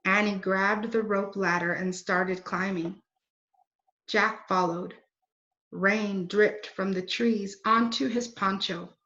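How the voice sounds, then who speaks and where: A woman reading a children's book aloud in a deliberate, expressive way. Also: mid-range voice. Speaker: read-aloud storyteller. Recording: roomy, dull lo-fi recording.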